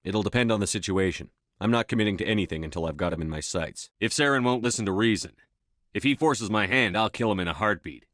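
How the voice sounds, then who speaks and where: An actor playing a stern, steady human military commander in a sci-fi video game, clipped, authoritative and matter-of-fact. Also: male voice, on the low side, fast talker, no room sound, clean studio sound, close to an everyday voice. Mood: resolute. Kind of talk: video game dialogue acting.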